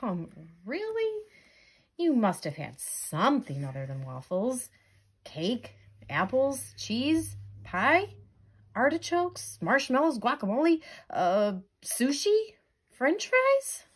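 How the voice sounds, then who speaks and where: A woman's voice: high voice, huge pitch swings, slow, husky, pitched above the performer's own voice, heavily acted. A woman voicing an earnest, exasperated jellyfish friend in a storybook, questioning and coaxing. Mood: incredulous.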